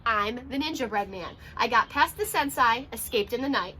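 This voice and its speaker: Storybook cookie ninja, read by a woman: cocky, taunting, sing-song, high and bright. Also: animated, moderate pace, slight background noise. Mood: boastful, taunting. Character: boastful, teasing little gingerbread ninja cookie man. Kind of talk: children's storybook read-aloud.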